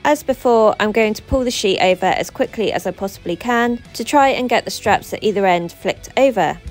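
A woman in her thirties, a truck driver, narrating her work in a steady voice-over, explaining each step. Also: mid-range voice, brisk, faint music behind.

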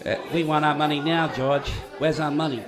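A man's voice: on the high side, steady tone, normal speed, a crowd in the background, close to an everyday voice. A man playing a blunt, stubborn townsman who insists on his money, in a community radio play. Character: angry stubborn male townsman demanding money.

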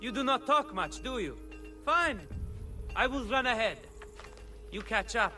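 Young Renaissance rogue, high-pitched and cocky, flippant delivery, video game drama. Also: male voice, expressive, unhurried, bright, forceful tone, soft background music, exaggerated voice. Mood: impressed, cheeky. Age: young adult. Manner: cheeky, flippant, theatrical.

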